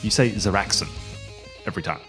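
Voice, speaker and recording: An Australian man chatting casually among friends on a podcast, joking and teasing in an animated way. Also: lowish voice, fairly quick, faint music behind. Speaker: tabletop podcast regular. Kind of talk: casual group banter.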